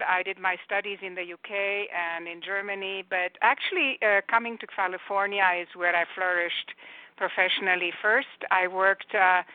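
A Romanian-accented woman in her sixties talks as a radio guest, thoughtful and articulate, often pausing on fillers and restarting phrases. Her voice sits at a middle pitch. It comes through a dry, narrow, phone-like line. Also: no echo. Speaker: guest, executive coach. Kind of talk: radio interview answers. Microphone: telephone.

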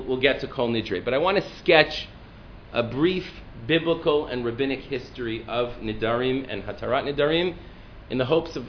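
A man with a deep voice, a rabbi giving a lecture, talking thoughtfully with restarts and fillers. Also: highs cut off, a little hiss or hum. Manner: thoughtful, self-deprecating, with frequent restarts and fillers. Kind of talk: classroom lecture.

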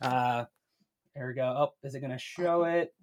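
A man's voice: mid-range voice, moderate pace. A man speaking native English in a casual chat as a podcast guest, telling things in a rambling, storytelling way.

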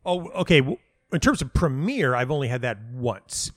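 A man's voice: mid-range voice, big pitch swings, normal speed, no echo. A man giving casual interview answers as a playwright, rambling and often interrupting himself as he tells stories. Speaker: playwright and audio dramatist being interviewed.

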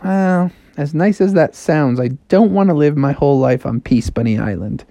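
A man's voice: fairly high pitch, normal speed, creaky, warm tone, dry recording. A man voicing a cheeky, easygoing talking frog in a children's story, breezy and cocky.

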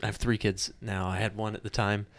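A man's voice: lowish voice, level tone, a little fast, no room sound. A man in his thirties hosting an interview, talking casually with many fillers and restarts. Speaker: podcast host.

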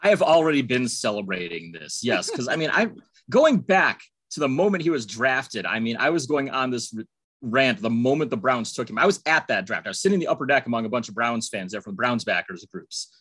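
A man from Texas co-hosts a sports podcast and talks in a relaxed, easygoing way. His voice sits at an ordinary middle pitch. The recording sounds dry and close. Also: a little fast, breathy edge, no room sound. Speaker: podcast co-host. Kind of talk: casual sports podcast chat.

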